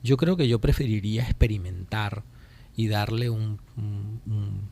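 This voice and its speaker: This is a man with a Venezuelan accent and a fairly low voice with a creaky, gravelly edge. He speaks as a guest in a political radio interview. His delivery is deliberate and emphatic, often restating a phrase to press the point. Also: warm tone. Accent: Venezuelan Spanish. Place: radio studio.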